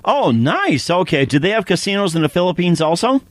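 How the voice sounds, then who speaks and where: A man's voice: high-pitched, big pitch swings, fairly quick, no room sound. A male podcast host reading sponsor spots and chatting with a guest, rambling with lots of fillers and repeated phrases. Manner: rambling, many fillers and repeated "as well, too". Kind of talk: casual interview with read sponsor spots.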